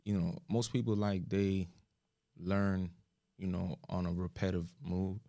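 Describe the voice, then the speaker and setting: A man's voice: sits low, level tone, a little slow, warm tone, no room sound, studio quality. A man from Florida, a musician guest, chatting casually and laid-back, often saying "you know what I'm saying". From Pompano Beach, Florida. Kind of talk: casual chat.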